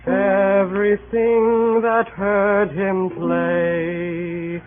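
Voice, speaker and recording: A woman singing slowly in a 1930s radio play. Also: mid-range voice, flat delivery. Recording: muffled, band-limited 1930s radio broadcast. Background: faint music.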